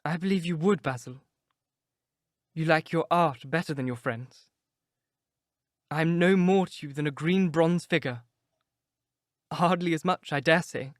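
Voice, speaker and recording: A man reading aloud, voicing a vain, beautiful young man who fears growing old, in an impassioned, high, cracking delivery. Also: unhurried, long pauses, no echo, studio quality, natural voice. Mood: bitter. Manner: impassioned, rising to anguished cries. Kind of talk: reading of a classic novel aloud.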